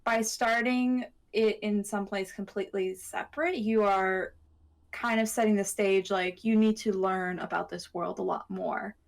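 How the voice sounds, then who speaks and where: Woman chatting in halting phrases. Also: mid-range voice, steady tone, creaky. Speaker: panelist on an anime discussion show. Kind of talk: casual chat.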